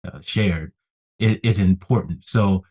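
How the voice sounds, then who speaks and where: Husky low-voiced male podcast host. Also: takes it slowly, darkish tone. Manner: encouraging, rambling questions. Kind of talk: casual interview talk.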